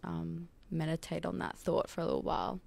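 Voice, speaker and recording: A woman's voice: on the low side, flat delivery, a little fast, creaky, noisy background. A young Australian woman, a university student, chatting hesitantly with many fillers on a church panel into a handheld mic. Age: young adult. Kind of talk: casual panel chat. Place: church studio set, indoors. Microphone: handheld microphone.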